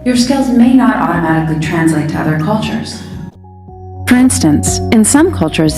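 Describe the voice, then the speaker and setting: A woman reading a scripted explainer narration in an even, explanatory tone, played from a video clip. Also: fairly low pitch. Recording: clip played in a hall with music behind. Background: music.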